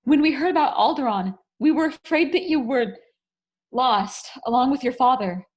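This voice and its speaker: A woman's voice: high voice, moderate pace, natural voice. A woman playing an anxious, formal rebel commander in a script read, earnest and emphatic. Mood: relieved, grave. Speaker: actor in a table read. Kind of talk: virtual script table read.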